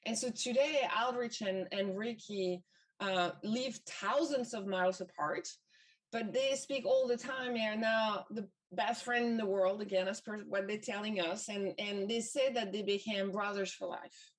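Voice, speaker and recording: A woman's voice: mid-range voice, far from the mic. A woman from France with a French accent, speaking English as a second language, telling a story in a motivational talk and often repeating words and using fillers. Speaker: event speaker.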